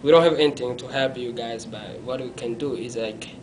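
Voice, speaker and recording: A young man, telling his life story in an interview in a small room, halting and often correcting himself. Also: mid-range voice, slight background noise. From Congo. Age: young adult. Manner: halting, self-correcting, non-native phrasing; gestures with his hands while talking.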